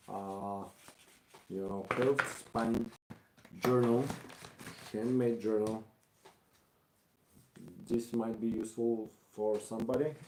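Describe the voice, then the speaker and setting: Man, Bulgarian accent, slow halting delivery, mellow tone, casual craft livestream host, thinking aloud. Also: mid-range voice, roomy sound, slight background noise, low-quality recording. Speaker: craftsman hosting a live stream. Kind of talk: casual live craft demonstration.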